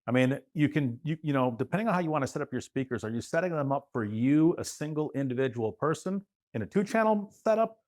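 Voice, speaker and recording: A man speaking as a guest expert, giving casual practical advice in a loose, halting way that circles back. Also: on the high side, lively intonation, darkish tone.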